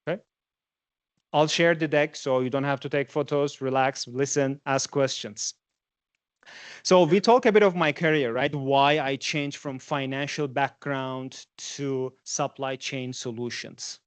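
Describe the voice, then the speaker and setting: A man's voice: medium pitch, fairly even intonation, a little slow, clean tone, no room sound. Turkish-born man giving a guest lecture. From Turkey. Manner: conversational, asks the audience questions. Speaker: guest industry speaker.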